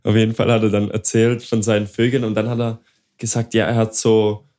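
A man with a slightly hoarse, scratchy voice from a cold, telling a slangy, teasing story in casual podcast chat. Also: fairly low pitch, flat delivery, fairly quick, dark tone. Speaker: podcast co-host.

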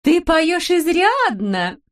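A woman's voice: very high-pitched, very animated, takes it slowly, pitched above the performer's own voice, heavily acted. A woman voicing a sweet, silly brain-eating wife who coos fond pet names at her husband in a storybook.

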